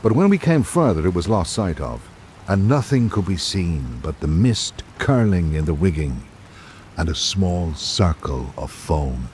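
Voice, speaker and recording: Deep-voiced male radio drama narrator. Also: sing-song, measured pace, a little mellow, slight background noise. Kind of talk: scripted old-time radio narration.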